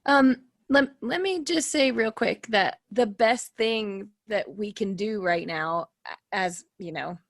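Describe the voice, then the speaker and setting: A woman's voice: mid-range voice, slow pace, dry recording, studio quality. A woman on a podcast panel, talking casually and earnestly about personal views in a conversational way.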